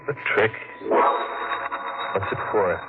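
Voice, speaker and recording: An actor playing a cold, greedy heir haunted by guilt, in 1940s radio thriller style. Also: male voice, lowish voice, very slow, over loud music. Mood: cold, menacing. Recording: muffled, very noisy 1940s radio recording.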